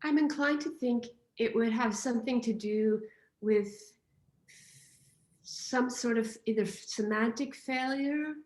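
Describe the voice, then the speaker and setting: A woman thinking aloud in an academic discussion, halting and often interrupting herself as she puts a point. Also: a little high, darkish tone, reverberant. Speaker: audience questioner at an academic Q&A.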